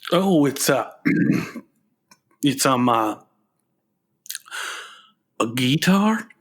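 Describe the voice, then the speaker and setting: A man playing a hesitant, reluctant grown son in a comedic radio play, halting and unsure. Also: mid-range voice, animated, fairly bright tone, heavily acted.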